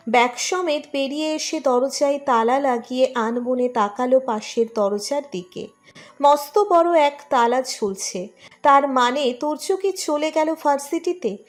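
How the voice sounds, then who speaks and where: A woman reading a scripted romantic story as its narrator. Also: sits high.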